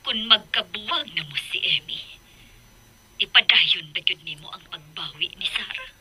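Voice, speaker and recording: A woman's voice: medium pitch, animated, normal speed, bright, forceful tone, breathy edge, heavily acted, low-quality recording. A woman in a Visayan Filipino radio drama playing a middle-aged, bossy, short-tempered matriarch. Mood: concerned, insistent. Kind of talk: broadcast radio drama acting.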